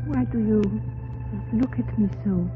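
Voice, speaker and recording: A woman's voice: on the high side, measured pace, lightly acted. An actress playing a frightened but proud young runaway servant girl in an old-time radio drama, earnest and dramatic. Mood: tender. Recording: narrow, noisy old radio recording.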